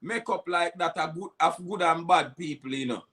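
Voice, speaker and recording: A man's voice: on the high side, moderate pace, bright, forceful tone. A man with a Jamaican accent, co-hosting a vlog and chatting casually. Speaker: vlog host. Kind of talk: casual chat.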